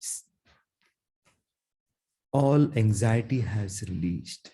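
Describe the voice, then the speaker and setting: A man leading a guided meditation in a soothing, gentle manner, leaving long pauses between his phrases. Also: fairly low pitch, expressive, slightly rough. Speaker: meditation guide, Reiki teacher.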